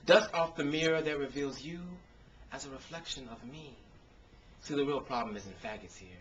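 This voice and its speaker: A man's voice: medium pitch, normal speed, fairly bright tone. An American man giving a spoken word poetry reading with casual introductions, phrasing his words expressively and with emphasis. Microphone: camcorder microphone. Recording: dull, noisy consumer camcorder sound.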